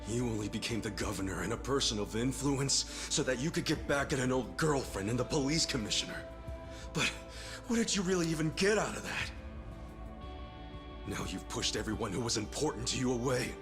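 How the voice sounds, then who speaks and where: A man performs a steadfast, loyal video game character. He speaks at a middling pitch, earnest and reproachful, like someone confronting a master he cares for. Music plays clearly behind his voice. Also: lively intonation, brisk, close to an everyday voice. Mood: pained reproach. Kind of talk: dramatic video game cutscene acting.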